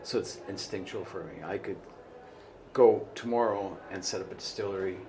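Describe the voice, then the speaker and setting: Middle-aged male bar owner, low voice, halting rambly interview talk, noisy small-bar ambience. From Portugal, raised in Canada. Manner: rambling, halting, trailing off quietly. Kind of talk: casual interview chat.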